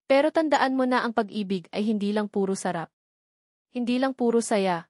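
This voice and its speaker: A woman's voice: medium pitch, a little mellow, no echo, studio quality, natural voice. Woman reading as a grave, caring rich madam. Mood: grave, advising. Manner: grave, measured advising. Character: serious, caring wealthy older woman, the boyfriend's relative. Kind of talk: audiobook character dialogue.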